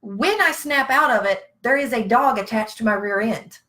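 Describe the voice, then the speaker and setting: Southern US woman, blunt folksy storyteller, bright forward voice, slightly high, casual live chat. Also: normal speed. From Virginia, United States.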